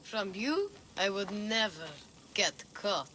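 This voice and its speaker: Cheeky street kid, defiant, playful, video game scene, faint background noise. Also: female voice, mid-range voice, big pitch swings, slow, pushed and bright, exaggerated voice. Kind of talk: video game cutscene acting.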